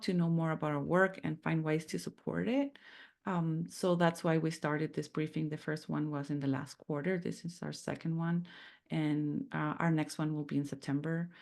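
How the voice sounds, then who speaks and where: Gravelly low-voiced woman hosting. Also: a little mellow. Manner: hesitant, many fillers and restarts. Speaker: club president-elect and host. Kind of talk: introducing a panel briefing.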